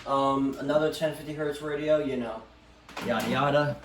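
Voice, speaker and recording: A man's voice: mid-range voice, steady tone. Echoey, offhand man vlogging casually. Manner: halting, offhand. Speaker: vlogger showing a radio collection. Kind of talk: casual unscripted product show-and-tell. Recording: echoey room, background noise.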